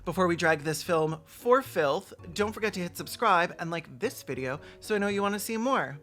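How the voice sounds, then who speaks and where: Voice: mid-range voice, animated, normal speed, a bit bright. A person giving bawdy, sarcastic comedic commentary as the host of a reaction video, in a campy manner. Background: background music.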